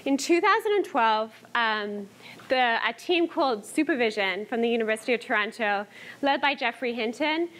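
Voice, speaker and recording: Bright-voiced woman giving a tech talk. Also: fairly high pitch, expressive, moderate pace. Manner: conversational, many fillers, laughs lightly. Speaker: conference speaker. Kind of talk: technical conference talk. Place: conference hall.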